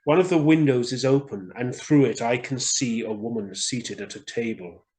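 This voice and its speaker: A man voicing the gentle, sorrowful statue of a young prince in a storybook, speaking in a low, musical, measured voice. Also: close to an everyday voice, rough recording. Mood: compassionate.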